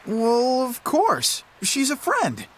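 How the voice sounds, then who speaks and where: A man's voice: extremely high pitch, huge pitch swings, slow, fairly bright tone, exaggerated voice. A man playing a brash, sarcastic teenage boy in a video game, with a casual, grumbling delivery. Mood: eager. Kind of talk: English video game voice acting.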